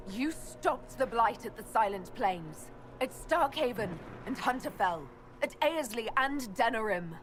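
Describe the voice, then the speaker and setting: Earnest, high-voiced fantasy heroine. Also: female voice, background noise. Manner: earnest, firm, diplomatic. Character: earnest, principled heroic woman leader. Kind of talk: dramatic fantasy game acting.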